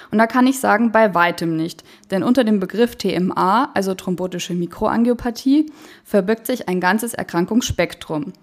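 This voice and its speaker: A woman hosting a medical podcast, reading a scripted introduction and asking questions with some hesitation. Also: medium pitch. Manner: friendly, clear, with hesitant questions. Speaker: podcast host.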